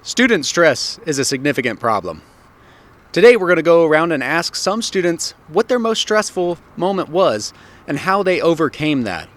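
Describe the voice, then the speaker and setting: A male reporter with a fairly high voice speaks briskly. Clear street noise is heard behind him outdoors. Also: sing-song, fairly bright tone. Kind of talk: news reporting.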